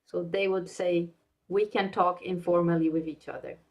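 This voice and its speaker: A woman's voice: on the low side, little pitch movement, normal speed, darkish tone. A woman talking thoughtfully in a live online discussion, restarting her sentences as she works out ideas. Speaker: discussion participant. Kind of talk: live podcast group discussion.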